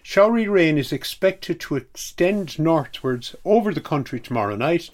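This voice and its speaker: A man's voice: fairly high pitch, animated, normal speed. A man reading a scripted weather forecast on community radio.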